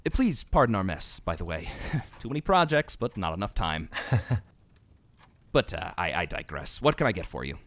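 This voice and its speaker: A man's voice: sits low, sing-song, moderate pace, fairly bright tone, no room sound, heavily acted. A man playing a tired, courteous innkeeper in a fantasy audio drama, flustered and stammering. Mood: apologetic, polite.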